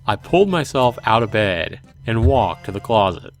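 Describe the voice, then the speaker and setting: A man's voice: fairly low pitch, big pitch swings, normal speed, soft background music. A man voicing a reluctant, sarcastic and vain young man narrating his life in a visual novel, grumbling and exasperated. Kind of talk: visual novel character reading.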